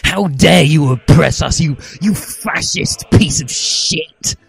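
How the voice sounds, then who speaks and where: A man voicing a furious, self-righteous teenage revolutionary who rants in an overwrought, shouty way in a comic story reading. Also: on the high side, takes it slowly, creaky, husky, voice pushed up, heavily acted. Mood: outraged. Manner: shouty, overwrought ranting with swearing. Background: music.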